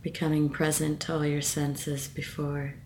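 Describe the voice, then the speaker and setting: A woman leading a guided meditation with a gentle, soothing manner and measured phrasing. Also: low voice, flat delivery, a little hiss or hum.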